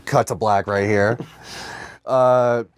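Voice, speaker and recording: A man's voice: lowish voice, fairly even intonation, slow pace, clear, resonant tone. A young man co-hosting a podcast in casual comedic banter, swearing freely as he riffs. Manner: crude, profanity-laden, riffing. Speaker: podcast co-host. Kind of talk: casual comedic banter about comics and movies.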